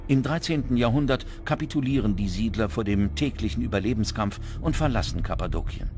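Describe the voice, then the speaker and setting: A man's voice: fairly low pitch, expressive, a little fast, darkish tone. A man reading scripted documentary narration as narrator. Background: music under the voice.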